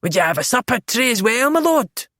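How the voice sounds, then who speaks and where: A woman's voice: fairly high pitch, sing-song, fairly quick, fairly bright tone, dry recording, clean studio sound, pitched above the performer's own voice. A woman voicing a jovial male Scottish innkeeper with a thick burr. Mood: eager.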